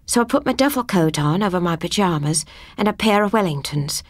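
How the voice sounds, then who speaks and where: A woman narrating a scripted first-person ghost story as an audiobook reader, with a husky voice. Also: fairly low pitch, animated, fairly quick, dry recording.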